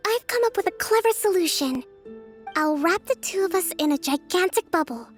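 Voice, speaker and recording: A woman voicing a gentle, introverted young priestess and strategist in a video game, soft-spoken, formal and thoughtful. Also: extremely high pitch, animated, moderate pace, faint music behind. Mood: cheerful, reassuring. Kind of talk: video game character voice acting.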